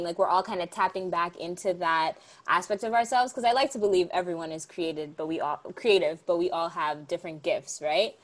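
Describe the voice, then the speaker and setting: Chatty young woman interviewer. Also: on the low side. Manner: chatty, rambling questions with many fillers. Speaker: interviewer host. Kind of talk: casual interview chat.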